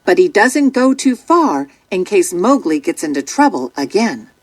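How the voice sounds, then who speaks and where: A woman narrating a children's storybook from a script in an expressive, dramatic storytelling manner. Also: on the low side, unhurried, background noise.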